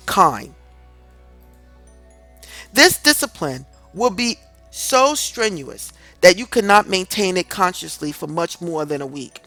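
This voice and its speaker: A woman reads a scripted self-help audiobook in a deliberate, earnest, instructive way. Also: medium pitch, a bit bright, no room sound. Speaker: audiobook reader. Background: music in the background.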